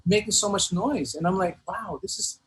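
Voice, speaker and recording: A man talking casually as an interview guest, halting and earnest, often repeating himself. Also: high voice, a loud crowd. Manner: rambling, earnest, halting, many repeated phrases. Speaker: hip-hop artist being interviewed. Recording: noticeable background noise.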